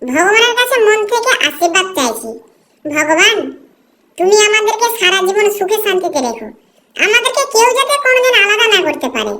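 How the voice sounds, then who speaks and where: A woman's voice: very high-pitched, very animated, a little fast, bright, forceful tone, heavily acted. A woman playing an earnest, determined young village girl in love in a cartoon drama. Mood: tender, hopeful. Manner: earnest, insistent. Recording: music behind the voice.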